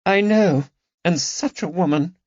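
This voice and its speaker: A distressed aristocratic lady in her forties, theatrical and tremulous, as a male narrator pitches his voice high and loud to put her on. Her lines rise with distress. The recording is dry and slightly dull. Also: a little slow, dry recording. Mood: bitter, distressed.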